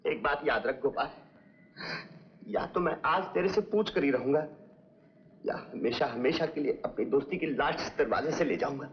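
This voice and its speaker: An actor playing a passionate, loyal best friend in an old Hindi film melodrama, insistent and theatrical. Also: male voice, on the high side, normal speed, close to an everyday voice. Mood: demanding, fervent. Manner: impassioned, demanding, theatrical. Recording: dull, lo-fi old film soundtrack, hissy.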